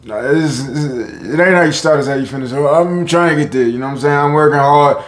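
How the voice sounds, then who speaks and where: A man with a deep voice rambling casually to his viewers, interrupting himself and often asking if they know what he's saying. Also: a little fast, roomy sound. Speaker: vlogger. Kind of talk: casual talk to camera.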